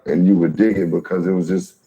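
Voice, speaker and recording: A man speaking in a relaxed, admiring way in a documentary interview. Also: low voice, fast talker, dull audio.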